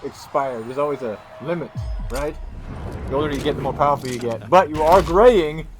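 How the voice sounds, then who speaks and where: A man's voice: fairly high pitch, moderate pace, soft background music, lightly acted. A man playing a chatty ninja who teases along in casual parody reaction commentary. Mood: curious. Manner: teasing, casual. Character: chatty, needling undead ninja reacting to fights.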